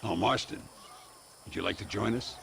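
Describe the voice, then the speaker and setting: An actor playing a weathered, sardonic older American gunslinger in a western video game, with a gravelly, theatrical, wry drawl. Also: male voice, lowish voice, moderate pace, fairly bright tone, a little hiss or hum. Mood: wry, merry. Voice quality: gravelly, creaky. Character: weathered aging gunslinger, sardonic, courtly, boastful yet calming.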